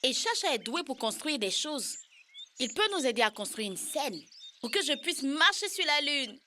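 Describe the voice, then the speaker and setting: High-voiced determined schoolgirl. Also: big pitch swings, moderate pace, pushed and bright, a little hiss or hum, exaggerated voice. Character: determined young schoolgirl, natural leader. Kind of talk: dubbed educational cartoon acting. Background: music.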